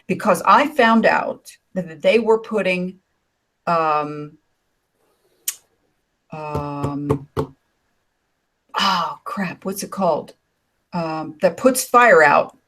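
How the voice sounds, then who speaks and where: Older woman, raspy, slow and lowish, rambling co-host on a video call. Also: fairly bright tone. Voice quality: raspy from decades of smoking. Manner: rambling, with many fillers and asides. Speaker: co-host of an online hangout. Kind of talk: casual chat on a video call. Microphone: computer mic on a video call.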